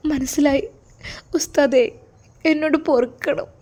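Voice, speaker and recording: A woman's voice: sits high, steady tone, normal speed, a little mellow, pitched above the performer's own voice, lightly acted. A woman voicing an anxious, remorseful young daughter in a story, with a Kerala Malayalam accent. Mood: tearful, pleading. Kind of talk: storytelling character voice.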